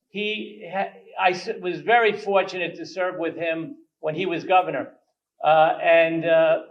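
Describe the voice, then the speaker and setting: An older man from New York, a state legislator, speaking haltingly at a community event with many restarts. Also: a little high. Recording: distant, muffled microphone.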